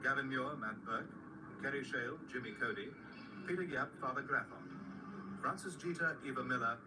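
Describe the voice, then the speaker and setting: A man's voice: mid-range voice, moderate pace. A man reading scripted credits as a radio drama announcer. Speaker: continuity announcer. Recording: distant replay of radio broadcast, heavy noise.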